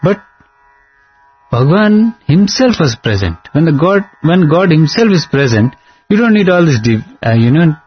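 Husky male guest, Nepali first language, earnest storyteller who slips into song; slightly muffled audio with faint music behind. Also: fairly high pitch, big pitch swings, normal speed. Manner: earnest storytelling, breaks into sung lines. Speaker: singer and guest. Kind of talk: devotional interview chat.

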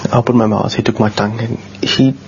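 Man, low bassy voice, earnest halting storyteller, muffled lo-fi recording. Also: fairly even intonation, creaky. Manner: earnest, halting, with many repeated words. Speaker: guest telling a personal story. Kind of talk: casual storytelling. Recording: dull lo-fi sound with faint noise.

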